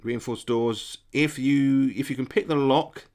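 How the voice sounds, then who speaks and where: A man's voice: mid-range voice, fairly even intonation, a little slow, darkish tone. A middle-aged man with a stuffy nose from a cold, hosting a casual live stream, answering chat in a hesitant, friendly way. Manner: hesitant, many ums and restarts, friendly toward viewers.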